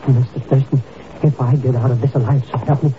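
An actor playing a flustered, cowardly, stammering sidekick in an excitable 1950s radio drama style. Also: male voice, mid-range voice, fairly quick, close to an everyday voice. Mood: nervous, flustered. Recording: muffled, hissy 1950s radio recording.

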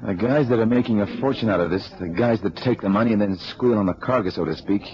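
Actor as low-voiced, inquisitive investigator. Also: male voice, fast talker, lightly acted. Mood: probing. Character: brisk, inquisitive male investigator sidekick. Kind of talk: 1970s radio drama acting. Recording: muffled old radio drama recording.

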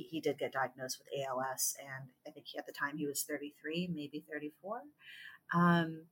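A woman in her thirties from California, talking as an author guest in a podcast interview, halting and thoughtful with many fillers and restarts. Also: deep voice, sharp tone, breathy edge, rough recording. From California, USA.

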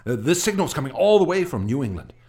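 A man playing a troubled, brooding gentleman in a radio drama, fretful and dramatic in manner. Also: on the high side, very animated, quick pace. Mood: weary, distracted. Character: troubled, brooding American gentleman haunted by dreams.

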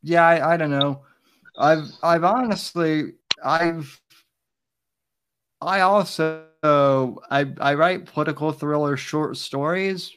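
A young man hosting a livestream, soft-spoken and hesitant, often restarting his sentences. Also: on the high side, slow pace. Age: young adult. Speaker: livestream host. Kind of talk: casual livestream chat.

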